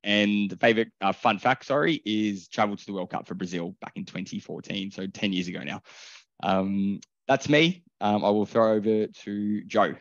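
A man hosting a recruitment webinar over a video call, in a relaxed way with many filler ums. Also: on the low side, normal speed, no room sound, highs cut off. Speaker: webinar host for a recruitment firm. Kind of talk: casual webinar presenting.

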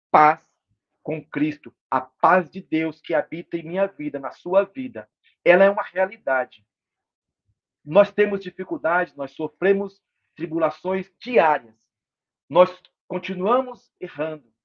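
A man in his fifties giving an earnest short devotional on a video call from his home, with a repetitive preaching cadence. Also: fairly high pitch. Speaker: lay preacher. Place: home room with large windows. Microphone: laptop webcam mic on a video call. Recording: dull lo-fi video call audio.

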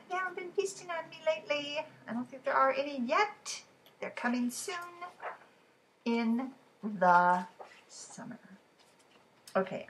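Woman in her fifties, unhurried storytime reader, expressive, chatty with kids, mid-pitched, noisy living-room recording. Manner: animated, slow deliberate reading with conversational asides to children. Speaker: teacher reading poems to children. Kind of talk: children's storytime read-aloud with riddles. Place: living room at home, indoors.

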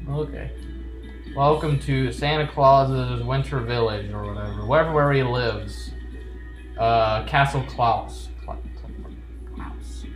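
A man riffs playfully through a let's play, reading on-screen text aloud and cracking jokes. His unhurried voice sits in a roomy, echoing space, with faint game music running underneath. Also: medium pitch. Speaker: gamer.

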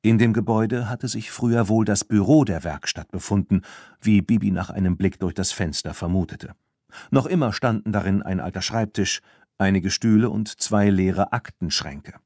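Male children's audiobook narrator, lowish pitch, expressive storytelling, dry studio sound. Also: normal speed, dry recording.

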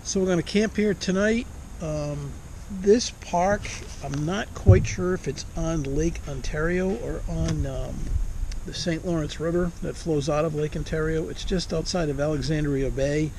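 A middle-aged man talks easygoingly to a camera set on a picnic table, rambling a little with fillers. His voice sits a touch high for a man. Clear wind and outdoor ambience run underneath. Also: measured pace, darkish tone, low-quality recording. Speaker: motorcycle travel vlogger. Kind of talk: casual travel vlog.

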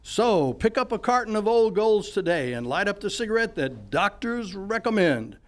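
Casting: actor as a vintage radio pitchman and narrator, male, notably high voice, polished and upbeat. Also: sing-song, moderate pace. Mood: selling. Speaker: announcer. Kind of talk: 1940s radio announcing. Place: live on a stage.

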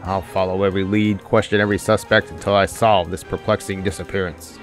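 A man's voice: deep voice, level tone, a little fast, faint music behind, voice pushed down, natural voice. A man playing an intrepid, confident private detective in a theatrical old-time radio serial style. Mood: defiant. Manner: unflappable, theatrical. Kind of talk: 1940s radio detective acting.

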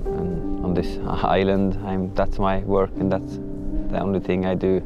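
A middle-aged man, a reindeer herder speaking haltingly in simple second-language English in a reflective interview. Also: sits low, monotone, gravelly. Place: outdoors on open tundra. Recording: muffled sound with music behind.

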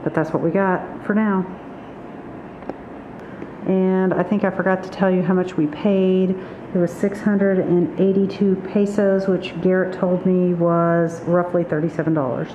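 A woman's voice: fairly low pitch, level tone, measured pace, warm tone. A middle-aged woman narrating a vlog in a casual, plain-spoken way, listing things in a kitchen. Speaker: vlogger. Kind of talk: casual grocery haul narration. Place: hard-surfaced kitchen indoors. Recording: echoey, muffled, noisy room recording.

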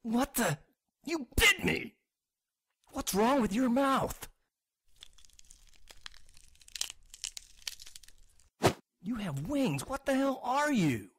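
Very slow-talking smitten prey, acted by a woman. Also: fairly high pitch, big pitch swings, fairly bright tone, a little hiss or hum, full character voice. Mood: terrified. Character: smitten lover turned terrified prey. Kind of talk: audio drama acting.

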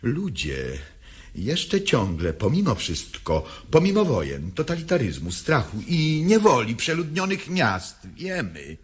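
An actor playing a defiant old woman revolutionary in a radio play monologue, declamatory and swinging from hushed to shouted. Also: medium pitch, huge pitch swings, a little slow, husky, heavily acted. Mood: confiding, wistful.

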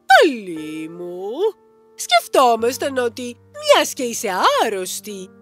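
A woman's voice: on the high side, very animated. A woman voicing a scheming, coaxing older woman scientist in a cartoon fairy tale. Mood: sugary. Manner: calculating, coaxing. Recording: music under the voice.

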